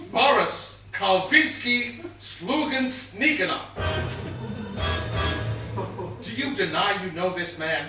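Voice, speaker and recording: A man acting a pompous, bumbling police inspector, heard across a very echoey stage. The voice is high and swoops theatrically, blustering through a comic murder mystery. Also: big pitch swings, a little slow. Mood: probing. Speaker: stage actor. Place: theatre stage, recorded from a distance. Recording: roomy distant stage recording, noisy.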